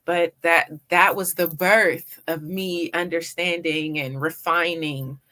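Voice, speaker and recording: A middle-aged woman from Washington, D.C., answering casually as a talk-show guest in an expressive, emphatic, conversational way. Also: fairly low pitch, slow pace. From Washington, D.C., United States. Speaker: interview guest, PR agency owner.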